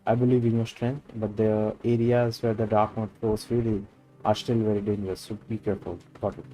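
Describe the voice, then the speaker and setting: Mellow, low-key Indian man streaming a game. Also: flat delivery, brisk, low-quality recording. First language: Hindi. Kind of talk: casual gameplay chat, reading game dialogue aloud. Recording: game music behind the voice. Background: video game music.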